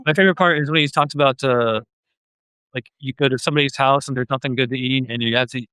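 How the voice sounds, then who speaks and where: Male podcast host, clear bright voice, hesitant casual music chat, dry close sound. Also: medium pitch, steady tone, fast, dry recording.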